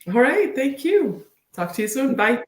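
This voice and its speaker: A woman's voice: high-pitched, very animated, measured pace. A woman speaking as an interview guest, chatting casually and encouragingly. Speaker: guest speaker, senior manager. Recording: roomy, echoey sound.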